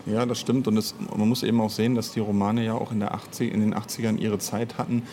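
A man's voice: lowish voice, flat delivery, fast talker, dark tone, slight background noise. A man answering as an interview guest in a casual, reflective, explanatory way. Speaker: interview guest, audio drama writer and producer.

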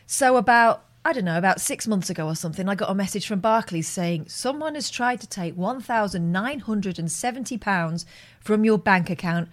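Female co-host, middle pitch, deadpan teasing, chatty storyteller. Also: moderate pace. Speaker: podcast co-host telling a story. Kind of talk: casual anecdote telling.

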